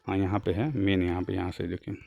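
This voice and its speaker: A man's voice: low-pitched, steady tone, fast talker, creaky, a little mellow, dull audio. A man giving an informal, colloquial explainer, mixing in English terms as he reads out and paraphrases a document. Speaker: job news YouTuber.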